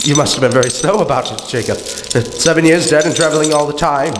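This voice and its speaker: A man's voice: fairly high pitch, a little fast, natural voice. Man as a fretful old miser in echoey hall. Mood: uneasy. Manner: pleading, fretful, dryly evasive. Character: anxious, grudging old miser, haunted by spirits. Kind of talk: live radio play stage acting. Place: theatre stage, live performance. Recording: echoey hall with clear background noise.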